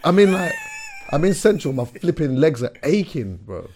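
A young man, hoarse from a recent illness, telling a story in animated, slangy casual chat as a podcast host. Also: on the high side, normal speed, a crowd in the background. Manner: animated storyteller, slangy, calls everyone bro.

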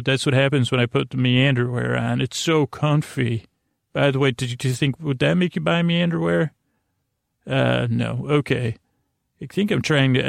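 A man hosting a bedtime podcast in a creaky, lulling voice, meandering haltingly and interrupting himself through a silly monologue. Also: medium pitch, no echo, studio quality. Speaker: host of a bedtime sleep podcast. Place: small closet, close and dry.

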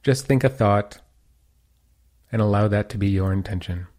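A man leading a guided meditation as a teacher, giving calm, soothing instructions. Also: fairly low pitch, moderate pace.

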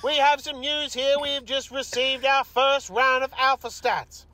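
A woman giving a hyped, theatrical fight announcement, excited and sweary. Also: high voice, measured pace.

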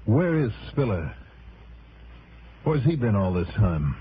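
A man's voice: lowish voice, big pitch swings, slow pace. An actor playing a wry, inquisitive American private investigator in a 1960s radio drama, talking and narrating his case. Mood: wry, probing. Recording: muffled, noisy vintage radio broadcast with music.

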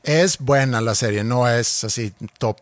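A man's voice: medium pitch, measured pace, no room sound. A man co-hosting a casual podcast, mostly answering briefly with dry remarks. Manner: short prompting replies, dry remarks. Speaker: podcast co-host. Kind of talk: casual chat.

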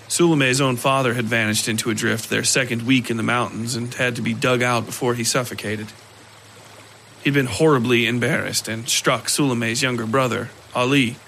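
Bright-voiced male storyteller, measured and grave. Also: medium pitch, a little hiss or hum, poor audio. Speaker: audio fiction narrator. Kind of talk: scripted literary story narration.

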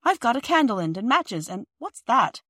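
A woman reading a storybook, voicing a bossy, brave young boy who talks in a brisk, commanding way. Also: on the high side, big pitch swings, fairly bright tone, no room sound, studio quality, pitched above the performer's own voice. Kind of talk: audiobook character voice.

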